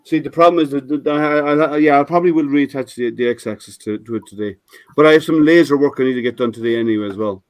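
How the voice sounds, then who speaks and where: A man's voice: mid-range voice, fast talker, no echo. An older man hosting a casual live stream, his voice a little scratchy, explaining his printer with many restarts and fillers. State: slightly scratchy voice.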